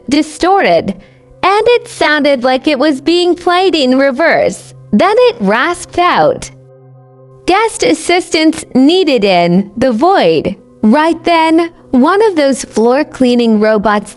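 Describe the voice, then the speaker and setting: A woman narrating a scripted first-person horror story in a conversational but deliberate, dramatic way. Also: high voice. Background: music bed.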